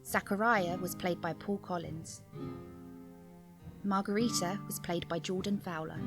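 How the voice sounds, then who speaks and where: A woman announcer giving a scripted reading of drama credits. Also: lowish voice. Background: plucked guitar music.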